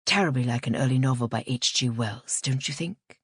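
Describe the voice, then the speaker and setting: A woman's voice: a bass voice, brisk, husky, no room sound, studio quality, pitched below the performer's own voice. A woman voicing a charming, educated man in an audiobook, speaking in a wry, teasing, languid way. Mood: wry, flirtatious. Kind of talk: audiobook character voice.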